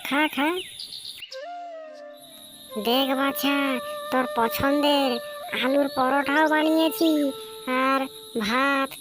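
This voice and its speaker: High-pitched caring village mother played by a woman. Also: fairly even intonation, slow pace, pauses often, music in the background. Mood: affectionate. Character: caring poor village mother selling fish, eager. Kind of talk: animated story voice acting.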